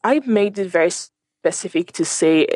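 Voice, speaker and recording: A young woman with a French accent, speaking as a guest journalist in a radio interview, talking in halting bursts with many fillers and restarts. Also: lowish voice, animated, a little mellow, no echo, clean studio sound. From Nigeria.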